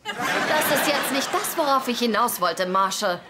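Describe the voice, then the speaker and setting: A woman dubbing a sharp-tongued, scolding young woman in a German sitcom dub. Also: on the high side, very animated, a little slow, a loud crowd, heavy background noise. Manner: scolding, teasing.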